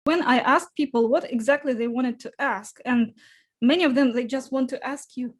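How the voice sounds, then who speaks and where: A woman from Russia hosts an informal fan interview. Her voice sits on the high side, and she talks in a casual, conversational way. There is a little music in the recording. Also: moderate pace. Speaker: interviewer and host.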